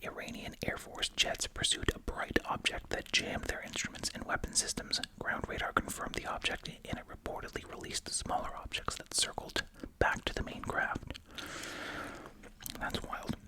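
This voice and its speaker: An ASMR host whispering close to the mic while chewing gum, reading aloud with casual, musing asides. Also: moderate pace. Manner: informal, musing asides in a hushed voice. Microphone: close ASMR microphone.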